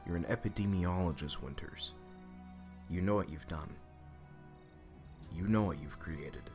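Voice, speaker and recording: A man's voice: low-pitched, measured pace, music in the background. A man playing a doomed researcher, grave and accusing, in an audio drama. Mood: accusing, resigned.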